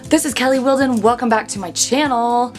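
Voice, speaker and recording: A woman's voice: on the high side, brisk, soft background music. A woman vlogger chatting casually to her viewers in an upbeat, gushing manner. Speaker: vlog host and aspiring singer. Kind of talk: casual chat to camera.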